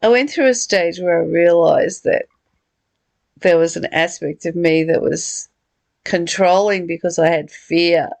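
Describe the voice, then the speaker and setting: A woman's voice: lowish voice, unhurried, vocal fry, darkish tone, dry recording. A middle-aged woman talking reflectively and personally in a conversational study discussion. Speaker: study group leader.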